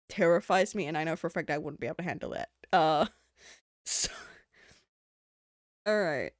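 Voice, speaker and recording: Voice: medium pitch, no echo. Kiwi streamer rambling n swearing, voice jumps all over, dry close sound. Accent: New Zealand. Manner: rambling and swearing, with frequent laughs. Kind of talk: casual live-stream chat.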